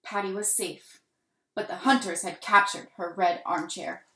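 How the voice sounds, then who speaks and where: A woman reading a children's picture book aloud with deliberate, dramatic emphasis and expressive delivery. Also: medium pitch. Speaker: children's storybook reader. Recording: roomy, echoing room sound.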